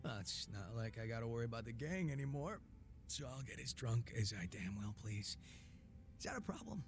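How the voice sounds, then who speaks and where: An actor playing a cocky, laid-back former gang boss in a video game, teasing and sardonic with a languid drawl and casual swearing. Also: male voice, mid-range voice, expressive, fairly quick, background noise, close to an everyday voice. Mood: tipsy, loose. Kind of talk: English video game voice acting.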